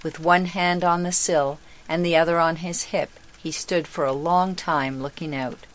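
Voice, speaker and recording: Female storybook narrator, low register, slow and deliberate delivery, scripted read. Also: fairly even intonation, slight background noise, rough recording.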